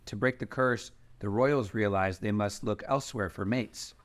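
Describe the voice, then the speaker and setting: A man's voice: lowish voice, normal speed, close to an everyday voice. A man playing a solemn dragon-shifter recounting old lore in a fantasy screenplay table read.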